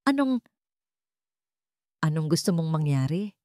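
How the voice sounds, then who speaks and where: A protective working-class father, played by a woman narrator, tense and pleading. His voice sits low and moves a lot, recorded dry. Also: sing-song, unhurried, warm tone, no room sound. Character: cornered single father mechanic, protective.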